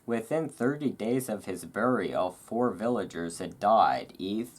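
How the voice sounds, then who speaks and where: A host reading aloud in a relaxed ASMR style, with informal, self-deprecating asides. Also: deep voice, unhurried, slightly rough. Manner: informal, self-deprecating over hard words. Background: thunderstorm ambience.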